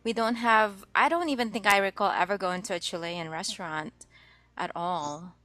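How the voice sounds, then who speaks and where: A woman hosting a podcast interview, speaking softly in short replies. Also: medium pitch, normal speed, dry recording. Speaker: podcast host. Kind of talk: casual interview chat.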